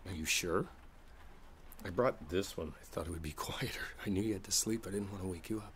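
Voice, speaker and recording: A man playing a gentle, reassuring boyfriend in an intimate ASMR roleplay, speaking softly and without hurry. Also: low voice. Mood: tender, reassuring. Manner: soft, unhurried, soothing. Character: gentle, reassuring musician boyfriend coaxing partner to sleep. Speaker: roleplay audio performer. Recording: steady background noise, rain sounds. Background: rain ambience.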